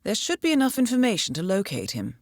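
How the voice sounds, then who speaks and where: An actress playing a composed, coolly professional intelligence handler who guides an assassin in a video game, measured and dryly wry. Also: female voice, mid-range voice, wildly swooping pitch, no echo, studio quality. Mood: serious, briefing. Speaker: mission handler. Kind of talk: scripted video game mission briefing.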